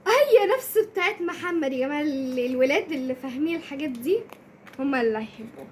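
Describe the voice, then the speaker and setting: High-pitched, quick Egyptian girl. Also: animated, a little hiss or hum. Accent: Egyptian Arabic. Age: child. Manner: bossy, instructing a younger child. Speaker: kids' channel host. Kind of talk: casual unboxing chat.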